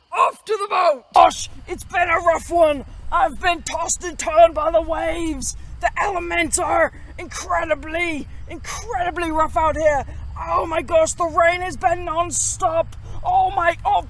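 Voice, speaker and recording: A woman playing a melodramatic, excitable pirate sailor in a children's storytime skit, overwrought and exclamatory. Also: very high-pitched, measured pace, exaggerated voice. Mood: frantic, distressed.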